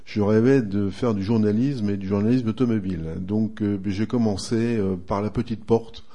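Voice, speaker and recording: A retired man in his sixties from northern France talks about his past in a relaxed interview, pausing often as he thinks. His voice sits fairly low and sounds soft and mellow rather than bright. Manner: unhurried, reminiscing, frequent hesitations. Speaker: guest, retired racing driver and motoring writer. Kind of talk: relaxed radio interview answers.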